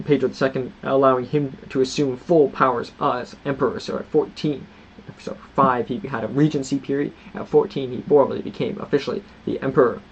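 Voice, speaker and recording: A man's voice: medium pitch, a little mellow, breathy edge, muffled recording. A man hosting a history lesson, reading prepared points aloud and breaking off into casual asides with frequent restarts. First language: not Portuguese. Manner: reads scripted points, then adds loose unscripted asides with restarts. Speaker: history channel host. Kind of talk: reading and commenting on history notes.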